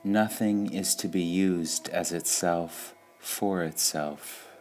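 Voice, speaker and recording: A man reading spiritual sayings aloud in a calm, measured way, pausing between phrases. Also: low-pitched, slight background noise. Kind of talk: slow meditative reading of quotations.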